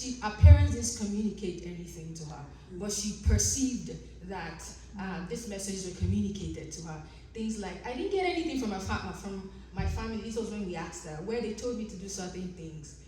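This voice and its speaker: Nigerian-accented woman, academic talk, earnest, mellow voice, distant mic, faint room noise. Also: medium pitch, heavy reverb. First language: Yoruba. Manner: earnest, explanatory, with frequent ums and restarts. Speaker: conference presenter. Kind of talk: academic research presentation. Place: conference room.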